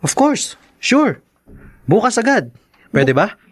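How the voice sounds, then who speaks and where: Eager young sweetheart, radio drama: upbeat, animated pitch, close and dry. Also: male voice, slow pace, husky, no echo, full character voice. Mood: excited, affectionate. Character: eager, cheerful young boyfriend. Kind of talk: Tagalog radio drama acting.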